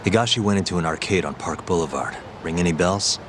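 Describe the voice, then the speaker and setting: An actor playing a dogged, wry private detective in a video game, with an understated, dry delivery. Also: male voice, sits low, fast, background noise, close to an everyday voice. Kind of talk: video game drama acting.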